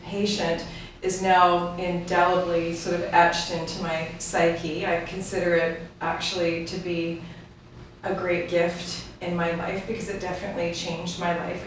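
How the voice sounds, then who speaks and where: Earnest, even-toned woman in echoey room. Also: lowish voice. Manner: earnest, measured, reflective. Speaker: guest, brand ambassador. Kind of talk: personal testimony in an interview. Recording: echoey room, distant mic, noisy.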